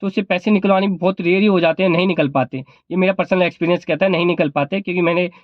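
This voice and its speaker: High-pitched, fast-talking man with a sore throat. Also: steady tone, no echo, phone-quality sound. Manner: explanatory, repeats points. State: sore throat, not speaking at full volume. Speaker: vlogger and cab driver. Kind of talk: informal advice vlog talk.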